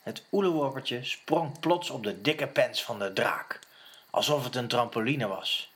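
A man's voice: medium pitch, a little slow, a bit bright. A man narrating a scripted musical audio story for children.

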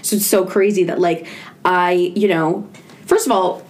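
A woman's voice: on the low side, steady tone, normal speed, a little mellow. Casual young woman podcast host chatting. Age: early 20s. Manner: chatty, informal, heavy use of "like". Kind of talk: casual chat.

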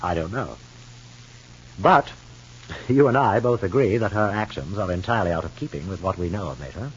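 An actor playing a guarded, bitter husband in an old radio soap opera, speaking in a measured, formal way. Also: male voice, sits low, animated, husky. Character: guarded, bitter husband, coolly suspicious of his wife. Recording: muffled, lo-fi old radio recording.

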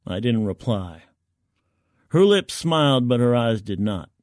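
A man's voice: medium pitch, sing-song, unhurried, a little mellow, dry recording, studio quality. A man narrating first-person science fiction in the colloquial, gritty, wry voice of a soldier.

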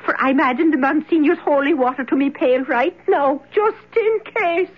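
A woman's voice: sits high, normal speed, heavy background noise, lightly acted. An actress playing a feisty, pious Irish hospital cleaning woman in an old radio drama, tart and dramatic. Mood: tart, wary. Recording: dull old radio broadcast recording.

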